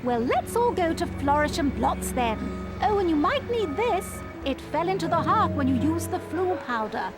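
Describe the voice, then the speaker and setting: A kindly middle-aged witch mother for a fantasy game. She is fussing and effusive, her high voice rising and falling freely over loud music. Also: big pitch swings, moderate pace, few pauses, exaggerated voice. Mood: fussing, caring. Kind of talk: video game cutscene acting.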